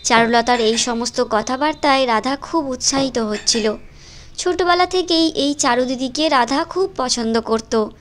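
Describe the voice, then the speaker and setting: A woman's voice: high-pitched, unhurried, slight background noise. A woman reading scripted storytelling narration for a moral cartoon. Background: music.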